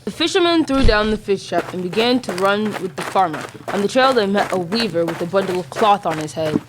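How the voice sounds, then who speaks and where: Young girl narrator, scripted storytelling, medium pitch, faint background noise. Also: animated, brisk. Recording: faint noise, amateur school podcast.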